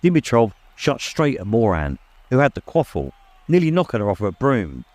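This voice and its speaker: A man's voice: mid-range voice, big pitch swings, normal speed, a little mellow, no room sound. A man narrating a story in a dramatic, scripted audiobook style.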